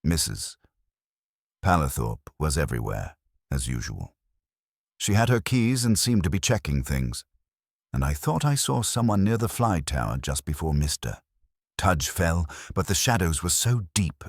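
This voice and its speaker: A frail, anxious woman witness in a mystery audiobook, played by a man in a low voice. She is earnest, subdued and hesitant, and the sound is dry. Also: big pitch swings, a little slow, gravelly, dry recording, heavily acted. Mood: shaken, anxious.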